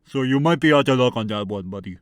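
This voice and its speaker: A man's voice: mid-range voice, animated, fast talker, smooth, no echo, clean studio sound, lightly acted. Man voicing a bumbling, rambling goofball with broken grammar. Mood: jovial, rambling. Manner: rambling, stammering. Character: bumbling, talkative odd male character playing mall Santa. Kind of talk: comic radio skit dialogue.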